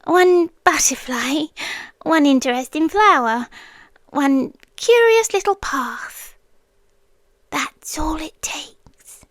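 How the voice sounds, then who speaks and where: A British woman playing a tender, possessive, inhuman childhood friend in an intimate ASMR roleplay, speaking softly and often slipping into whispers. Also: sits high, no room sound, clean studio sound. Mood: eerie, hushed.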